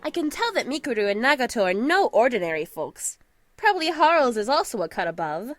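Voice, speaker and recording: Woman playing a bubbly high-pitched teenage girl. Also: brisk. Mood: playful, knowing. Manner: energetic, folksy, laughs easily. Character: cheerful, breezy, perceptive teenage schoolgirl. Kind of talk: audio drama character acting. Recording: music behind the voice.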